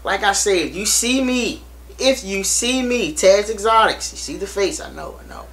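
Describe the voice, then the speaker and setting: A man's voice: sits high, normal speed, vocal fry. Hyped young man plugging a reptile show. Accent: American. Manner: hyped, animated, repeats himself a lot. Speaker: reptile channel vlogger. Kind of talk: casual to-camera promo vlog. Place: small indoor room against a plain wall.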